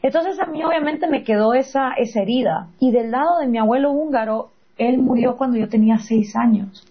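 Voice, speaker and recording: A woman with a Guatemalan accent in conversation as an interview guest, telling personal stories in an animated, emphatic way. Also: on the high side, fairly quick, husky, lo-fi sound. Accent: Guatemalan Spanish.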